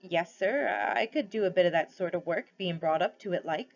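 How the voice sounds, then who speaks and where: A woman's voice: fairly low pitch, brisk. Cockney cabby female narrator playing him, humble and honest, hesitant, deferential storybook character, slightly lo-fi. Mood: earnest. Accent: Cockney softening to country. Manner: deferential, hesitant, plain-spoken. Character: humble, honest working-class male London cabby.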